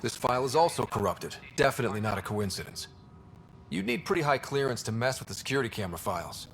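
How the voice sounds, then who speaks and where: A determined young space explorer from a video game. He talks casually and directly, with very lively pitch in a middle register. Noisy ambience sits behind the voice. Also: fast. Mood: focused, casual.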